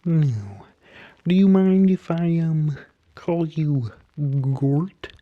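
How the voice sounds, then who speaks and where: A man voicing an eerie, polite but menacing barber creature in an ASMR roleplay, murmuring softly and half whispering, with halting, drawn-out phrases. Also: on the high side, animated, no echo, phone-quality sound. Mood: coaxing, faintly menacing. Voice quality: soft, breathy, half-whispered. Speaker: ASMR performer.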